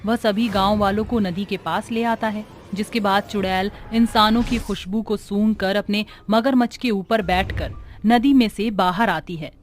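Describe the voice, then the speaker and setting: A woman's voice: medium pitch, normal speed, a little hiss or hum. A woman reading scripted horror storytelling narration as the story's narrator. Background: background music.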